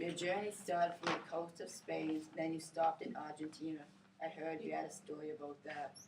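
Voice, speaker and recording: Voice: mid-range voice, level tone, normal speed, vocal fry. Chatty skit host, far from the mic. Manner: upbeat, chatty questioning. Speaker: talk show host. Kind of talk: amateur talk show skit. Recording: roomy, off-mic and noisy.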